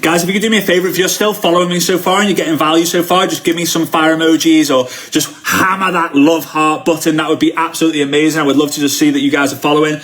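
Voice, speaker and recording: A man from Liverpool with the local accent and a fairly high voice coaches an online audience. He is excitable and emphatic, hammering home phrases by repeating them in a motivational style. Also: quick pace, few pauses. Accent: Liverpool English. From Liverpool, United Kingdom. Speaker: network marketing coach. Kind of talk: motivational live online training talk.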